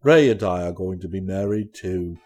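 A man's voice: sits low, moderate pace, warm tone, soft background music, voice pushed down. A man voicing a breezy, love-struck young upper-class fellow, dreamy and chummy, in a storybook reading. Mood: blissful.

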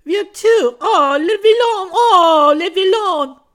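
Man putting on a shrill, gushing French landlady. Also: expressive, slow, fairly bright tone, heavily acted. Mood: shrill scolding. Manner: effusive, broken English mixed with French. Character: stout, gushing French landlady of a Paris pension.

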